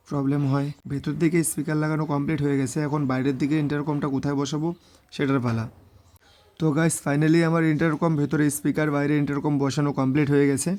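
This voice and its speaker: A man's voice: fairly high pitch, darkish tone. A man narrating a vlog voice-over, mixing in English words. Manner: mixes English words into speech. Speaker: motorbike vlogger.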